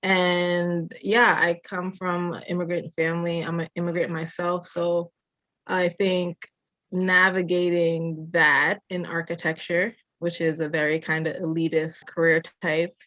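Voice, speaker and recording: A woman's voice: on the low side, flat delivery, a little slow, fairly bright tone, dry recording, highs cut off. A woman in her thirties, born in Jamaica and raised in Massachusetts, telling her story in a casual interview with a reflective manner and frequent laughs. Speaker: architecture designer, guest.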